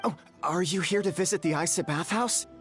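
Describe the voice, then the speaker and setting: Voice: mid-range voice, fairly quick, natural voice. A voice actor playing a flustered, eager-to-please bathhouse owner in a game, nervous and overly polite. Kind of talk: game voice acting. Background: faint game music.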